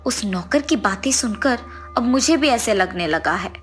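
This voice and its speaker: A woman's voice: on the high side, animated, normal speed, soft background music, voice pushed down, natural voice. A woman voicing a grieving, easily frightened husband who is master of the house in a cartoon story. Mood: sad, musing.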